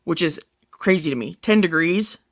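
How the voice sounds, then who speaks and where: Casting: male podcast co-host, higher voice with a bright, forward edge, conversational, thinking out loud. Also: animated, moderate pace. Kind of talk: casual chat, relaying a science story.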